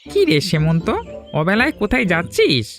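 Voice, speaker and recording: A mocking, scheming village husband boasts in a cartoon, voiced by a man. His voice is high and gravelly. His pitch leaps about all the time. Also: wildly swooping pitch, normal speed, a bit bright, exaggerated voice. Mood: impatient. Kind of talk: Bengali cartoon voice acting. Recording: music under the voice.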